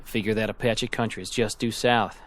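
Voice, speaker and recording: A man's voice: on the low side, fairly even intonation, brisk, a bit bright, slight background noise, close to an everyday voice. A man playing a weary, sceptical frontier settler with rustic, folksy speech in a radio drama. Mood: wary concern. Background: music.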